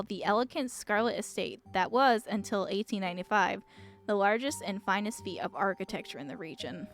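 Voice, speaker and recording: A woman streamer reading visual novel text aloud. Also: medium pitch. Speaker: game streamer. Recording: music behind the voice. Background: music.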